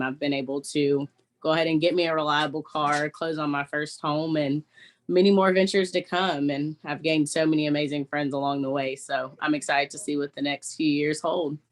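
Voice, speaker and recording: A young woman from Texas sharing her personal story on a video call, hesitant and full of ums. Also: low voice. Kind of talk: personal testimony.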